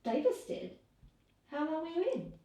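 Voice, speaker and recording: A woman's voice: sits high, big pitch swings, normal speed, mellow, roomy sound, distant mic. A woman reading the part of a curious, astonished woman asking questions in a comedy scene, off camera in a room. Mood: curious, surprised. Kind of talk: reading scene lines opposite an actor. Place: indoor room, away from the mic.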